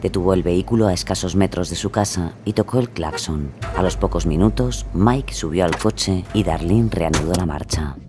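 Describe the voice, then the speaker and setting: Very deep male true-crime narrator. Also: moderate pace, hardly any pauses, noisy background.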